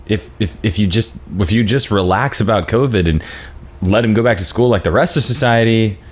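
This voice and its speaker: A man's voice: fairly low pitch, brisk, close to an everyday voice. A man playing a cocky, impatient father who acts like a teenage wannabe, in a screenplay table read.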